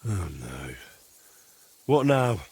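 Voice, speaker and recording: An actor playing an earnest young adventurer in a video game, polite but easily exasperated. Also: male voice, on the low side, sing-song, extremely slow, darkish tone, husky, no room sound, exaggerated voice. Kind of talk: video game dialogue acting.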